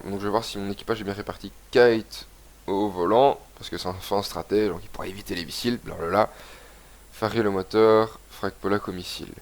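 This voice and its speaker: A young man with a head cold talks through a game session, his voice a bit low and stuffy. He rambles casually and reads the on-screen text aloud as he plays. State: has a cold, congested. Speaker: gaming video host. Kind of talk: casual let's-play commentary.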